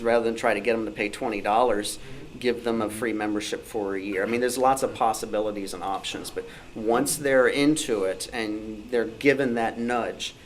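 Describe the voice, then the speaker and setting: A man's voice: on the low side, rough recording. Man speaking informally over room noise. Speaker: club meeting speaker, author and convention organizer. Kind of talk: informal talk answering questions at a meeting. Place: club meeting room.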